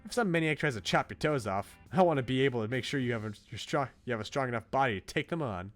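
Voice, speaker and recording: A man voicing a blunt, protective, teasing young woman coach in a visual novel, in a brisk, informal pep-talk manner. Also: medium pitch, expressive, faint music behind, natural voice. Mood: earnest, determined.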